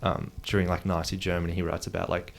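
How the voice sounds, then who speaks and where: A man's voice: low voice, level tone, quick pace, gravelly. A man hosting a casual chat, musing aloud and searching for words as he talks, heard on a noisy recording with clicks. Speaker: podcast host.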